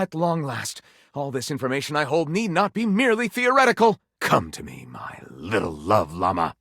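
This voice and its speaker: Theatrical bookish living library for a video game, super animated swoopy voice, florid and gushy, dry studio sound. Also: male voice, fairly high pitch, dry recording, full character voice. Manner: effusive, florid, theatrical diction. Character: flamboyant, theatrical bookish living library, eager and easily wounded. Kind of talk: video game character acting.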